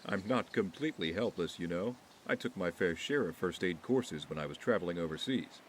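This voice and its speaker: A male actor as a wealthy young man in an audio drama, hurt and tired. His voice is low and measured, and he keeps evading. A noisy car ambience runs clearly underneath. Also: lively intonation. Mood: guarded. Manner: measured, evasive. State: injured, weary. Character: wounded, guarded wealthy young man, weary but composed.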